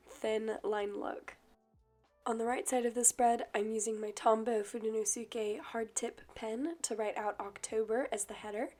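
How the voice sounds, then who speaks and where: A young woman with a hoarse, croaky voice from illness, calmly explaining each step of her drawing in a voice-over. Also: a little high. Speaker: bullet journal vlogger. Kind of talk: voice-over narration of a drawing tutorial.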